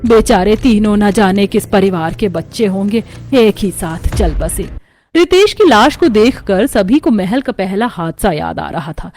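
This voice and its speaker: A woman's voice: medium pitch, expressive, unhurried, a little mellow, a little hiss or hum. A woman reading a scripted horror story as its narrator.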